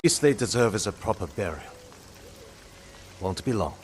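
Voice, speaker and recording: A man's voice: lowish voice, very animated, moderate pace, a little hiss or hum. A man playing a wry, battle-hardened island raider in a video game, guarded, terse and plain-spoken with a sardonic edge. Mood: guarded, dry. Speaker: video game character actor. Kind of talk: naturalistic game dialogue acting.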